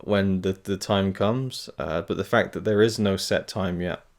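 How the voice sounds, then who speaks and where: Man, very deep voice, casual vlog update, rambling with fillers, speaking gingerly through tooth pain. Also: fairly even intonation, a little slow. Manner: rambling, hesitant, many fillers and restarts. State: talking carefully because of tooth pain. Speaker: film review channel host. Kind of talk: casual channel update to camera.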